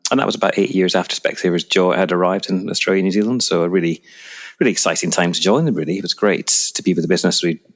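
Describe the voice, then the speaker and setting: A man's voice: sits low, very fast. A middle-aged Scottish man answering interview questions conversationally as an executive guest. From Edinburgh, Scotland.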